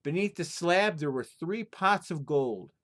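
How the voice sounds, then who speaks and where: A man's voice: on the high side, expressive, unhurried, fairly bright tone. A man telling folktales as an informal storyteller, moving between casual chat and narration.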